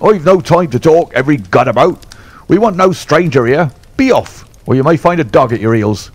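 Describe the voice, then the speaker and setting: A man voicing a fierce, bullying elderly horse trainer who blusters and shouts, raised well above his own voice. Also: sits high, lively intonation, fast, dry recording, voice pushed up. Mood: angry blustering. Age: older.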